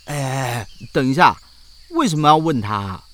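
A man's voice: medium pitch, expressive, unhurried, fairly bright tone. A man playing a brash, scatterbrained, whiny schoolboy in a children's audio drama. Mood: grumbling.

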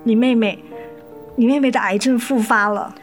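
一位女演员在广播剧中饰演操心又疲惫、爱叮咛的母亲。. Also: female voice, a little high, steady tone, moderate pace, faint music behind, close to an everyday voice. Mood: sorrowful. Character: worried, weary, nagging mother of two daughters. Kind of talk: radio drama acting.

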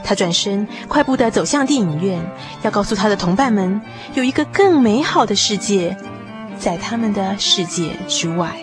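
Female storyteller, mid-pitched, expressive and measured, scripted drama narration with music bed. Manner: expressive, measured literary delivery. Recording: music behind the voice.

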